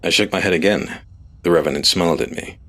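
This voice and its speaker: A man's voice: sits low, quick pace, a bit bright. A man reading a scripted first-person fantasy story as its narrator.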